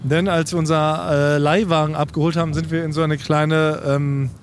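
A man with a slightly raised, light voice tells tales with mock-serious exaggeration in relaxed podcast banter. A faint hiss sits under his voice. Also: on the high side, moderate pace. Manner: playful, tells stories with jokey exaggeration. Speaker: podcast host. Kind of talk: casual chat.

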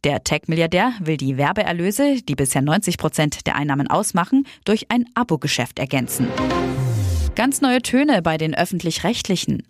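A woman presenting news stories in a scripted, lively news-reading style. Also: lowish voice, no echo, clean studio sound.

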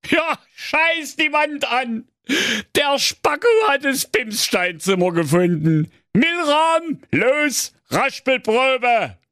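A man voicing a pompous, blustering old fairy-tale king who makes loud proclamations. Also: extremely high pitch, animated, slow pace, no echo, clean studio sound, voice pushed up, full character voice. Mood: excited. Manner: blustering proclamations, crude outbursts.

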